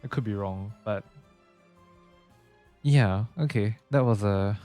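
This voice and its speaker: A man's voice: on the low side, slow, mellow. A man doing casual game playthrough commentary as a streamer, musing aloud and reacting as he plays. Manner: musing, reactive, repeats and echoes game lines. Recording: faint game music behind.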